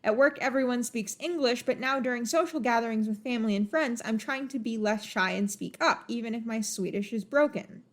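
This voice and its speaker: A woman's voice: a little high, brisk, hardly any pauses. A woman guesting on a casual podcast, talking emphatically and laughing easily.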